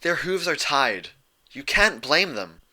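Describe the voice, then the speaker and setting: Storybook role: caring but exasperated unicorn mare, insistent, higher-pitched and sharply bright male reader performing. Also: big pitch swings, slow pace, heavily acted. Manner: insistent, pleading, lecturing. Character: earnest, exasperated young female unicorn pony, urgent and caring.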